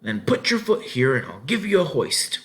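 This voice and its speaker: Man playing gruff farmer, cross, stern, curt commands, storybook character. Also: a little high, sing-song, normal speed, fairly bright tone, pitched above the performer's own voice. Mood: cross, surprised. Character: gruff, cross, bony old farmer on horseback.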